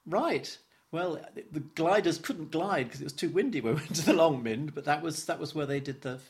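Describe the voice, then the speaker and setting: A man's voice: a little high, expressive, moderate pace. A man in his seventies from London, giving an oral history interview, reflective and stop-start, repeating words and chuckling as he remembers. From London, England. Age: 70s.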